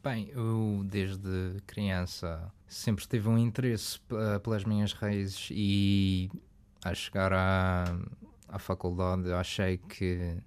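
A man's voice: low-pitched, level tone, slow, darkish tone, smooth. A young man from Canada with a Madeiran Portuguese accent, a student answering interview questions hesitantly, with many restarts. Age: young adult.

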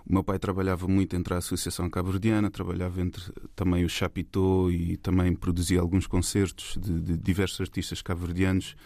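A man's voice: sits low, completely flat delivery, a little fast, hardly any pauses, darkish tone, no echo, studio quality. A man from Portugal, a filmmaker, talking in a casual interview with many fillers and restarts. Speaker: guest filmmaker.

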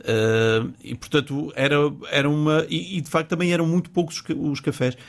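A man's voice: on the high side, steady tone, brisk, gravelly. A man from Porto with a northern Portuguese accent, a guest in a casual radio interview who talks in a chatty, hesitant way, repeating words and laughing easily. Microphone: studio microphone.

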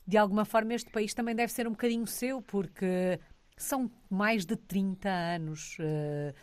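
Woman, interviewer, measured, mid-pitched voice, dry close sound. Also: dry recording. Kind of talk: interviewer, radio-style questions.

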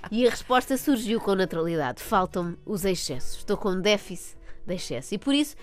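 A woman's voice: mid-range voice, expressive, brisk, faint music behind. A woman with a European Portuguese accent delivering a scripted comic monologue as a radio host, in a wry, mock-earnest manner.

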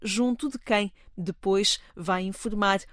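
Woman, news reporter, scripted voice-over, measured, mid pitch. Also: fairly bright tone, no echo, clean studio sound.

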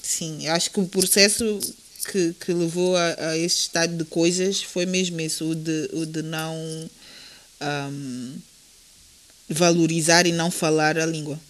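A woman giving her view as a guest in a panel discussion, reflective and pausing to find her words. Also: sits low, steady tone.

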